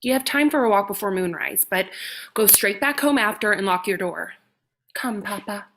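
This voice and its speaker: A woman's voice: medium pitch, lively intonation, moderate pace, fairly bright tone, a little hiss or hum. A woman playing a stern, mysterious guardian of a shapeshifter, solemn and commanding, in a comedy horror reading. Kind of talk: comedic horror script table read.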